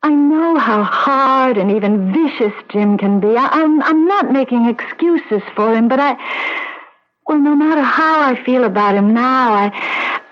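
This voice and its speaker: An actress playing a regretful, devoted middle-aged wife in a radio drama, speaking in hesitant, halting phrases. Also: female voice, sits high, expressive. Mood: troubled, pleading. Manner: hesitant, halting, repeats words. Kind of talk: 1970s radio drama acting. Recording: dull lo-fi vintage radio recording.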